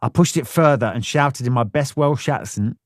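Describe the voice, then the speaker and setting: A man's voice: mid-range voice, normal speed, dry recording, studio quality. A man narrating a first-person memoir audiobook in a blunt, plain-spoken way, swearing freely.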